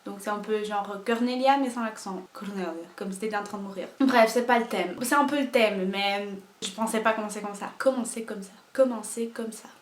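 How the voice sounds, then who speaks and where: A woman's voice: mid-range voice, a little fast, few pauses, reverberant. A young woman from Portugal speaking with a Portuguese accent, telling nervous, self-mocking stories in a casual vlog at home. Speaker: vlogger. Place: home room.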